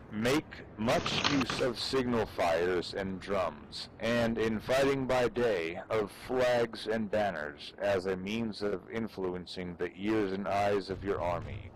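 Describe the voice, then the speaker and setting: Slow, solemn low-voiced man reading dramatically. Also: a little hiss or hum, rough recording. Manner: solemn, deliberate delivery with pauses. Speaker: amateur reader performing for fellow gamers. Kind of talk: dramatic audiobook-style reading of a military treatise.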